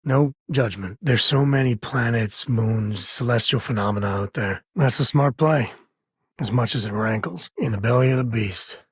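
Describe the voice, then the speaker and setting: A man voicing a rugged, folksy spacefaring cowboy and protective father in a video game, with an American accent, husky rasp and wry, informal manner. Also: medium pitch, moderate pace, no room sound, studio quality. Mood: wry, easygoing. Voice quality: husky, rasping. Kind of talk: video game character dialogue.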